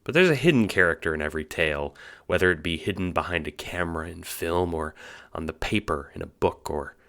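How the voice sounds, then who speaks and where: A man's voice: low voice, brisk. A man playing a sly, theatrical puppet-master narrator who toys with listeners in an audio drama. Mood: gleeful, taunting. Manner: teasing, mock-cheerful, swooping between hushes and exclamations. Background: music.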